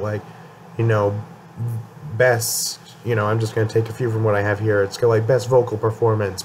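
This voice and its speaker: A man's voice: on the low side, darkish tone, slight background noise. A young man talking casually about his favorite albums, his voice a bit worn from a cold, rambling with fillers and restarts. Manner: rambling, many ums and restarts. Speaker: music channel host. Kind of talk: casual album ranking talk.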